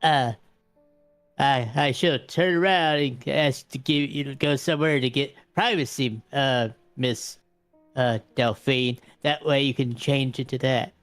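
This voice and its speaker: A man's voice: medium pitch, unhurried, fairly bright tone, dry recording, soft background music, lightly acted. A man voicing a courtly, pious vampire in a tabletop game, prudish around ladies, with hesitant, stammering, old-fashioned politeness. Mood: courteous, fussy. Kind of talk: improvised tabletop roleplay.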